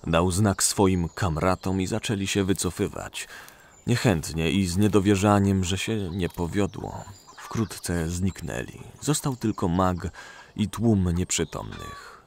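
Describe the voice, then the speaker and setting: Deep, gravelly, expressive male narrator. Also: a little slow, slight background noise. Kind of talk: scripted audio drama narration.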